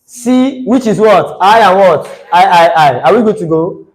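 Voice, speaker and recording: A man's voice: high voice, lively intonation, unhurried, rough recording. A young Nigerian man with a Nigerian accent, teaching a chemistry class live, chatty and teasing, slipping into Pidgin. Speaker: chemistry tutor.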